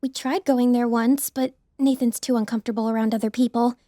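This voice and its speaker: A woman playing a high-voiced, bubbly young college woman. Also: steady tone, fairly quick, dark tone, dry recording, clean studio sound, lightly acted. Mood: hushed concern. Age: young adult. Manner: chatty, bubbly, earnest. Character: friendly, eager, optimistic young college woman. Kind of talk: audiobook drama acting.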